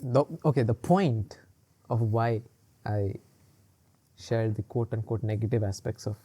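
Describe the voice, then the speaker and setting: A man's voice: on the low side, a little slow, dark tone. A man co-hosting a casual podcast, rambling along with lots of fillers and laughing often. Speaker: podcast co-host. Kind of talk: casual chat.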